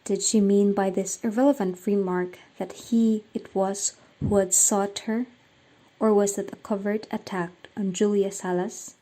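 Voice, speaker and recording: A woman's voice: mid-range voice, steady tone, unhurried, warm tone, rough recording. A woman reading scripted story narration for a radio drama.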